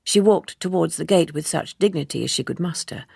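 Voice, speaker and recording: A woman narrating a literary audiobook in a measured, expressive storytelling manner. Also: deep voice, gravelly, slightly rough, no echo, clean studio sound.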